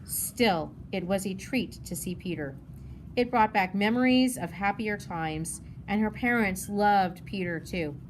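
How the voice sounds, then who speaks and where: A woman's voice: medium pitch, a little slow, poor audio. A woman narrating a children's novel as a read-aloud. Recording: noticeable background noise.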